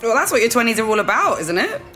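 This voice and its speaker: A woman's voice: a little high, very animated, fast talker, sharp tone, reverberant, soft background music. An actress playing a bold, blunt young woman, a confrontational friend in an audio drama. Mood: frank, lively. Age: young adult. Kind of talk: naturalistic audio drama acting.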